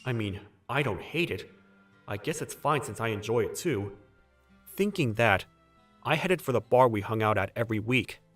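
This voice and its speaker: A man's voice: fairly low pitch, expressive, normal speed, a bit bright, no room sound, soft background music. A young man playing a polite, cautious new employee, speaking dialogue and first-person narration in a manga dub. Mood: reflective. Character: hesitant, polite young man, newly hired junior employee.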